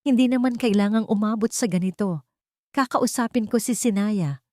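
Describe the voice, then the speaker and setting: Female narrator as conflicted man, pleading son, weary, desperate, higher-pitched, storybook family drama. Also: normal speed, darkish tone, dry recording, clean studio sound, lightly acted.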